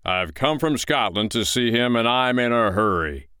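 A man's voice: medium pitch, expressive, pushed and bright, clear, resonant tone, dry recording, studio quality. A man voicing a huge, boastful, impatient giant in a storybook tale, roaring and growling his words. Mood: gruff, impatient. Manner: roaring and growling, slow drawn-out speech.

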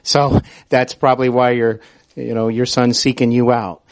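A man with a medium-pitched voice hosts a conversational interview. He phrases his thoughts carefully, with plenty of qualifiers and agreement. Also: level tone, normal speed. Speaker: podcast host, interviewer.

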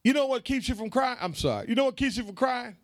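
A man preaching a fervent sermon to a congregation, emphatic and repetitive, calling for response and rising into shouted, half-sung phrases. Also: very high-pitched, expressive, fast talker, dry recording.

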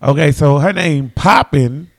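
Loud teasing podcast host man. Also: on the high side, a little slow, gravelly. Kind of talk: casual podcast banter.

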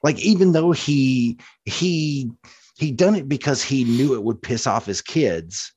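A man's voice: medium pitch, animated, a little slow, darkish tone, slightly rough, muffled recording. A man co-hosting a podcast, chatting casually about films. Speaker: podcast co-host reviewing films. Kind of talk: casual chat.

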